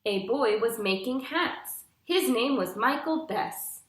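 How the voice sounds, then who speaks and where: Slow, animated young woman reading to children. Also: a little high. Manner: deliberate, instructive, clearly phrased storytelling. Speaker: teacher reading aloud to pupils. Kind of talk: picture-book read-aloud for young children. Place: small living room, indoors. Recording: roomy indoor echo.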